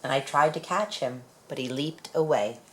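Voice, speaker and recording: A woman reading a children's picture book aloud in an expressive storytelling manner. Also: extremely low pitch, normal speed, slight background noise, poor audio. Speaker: storybook reader.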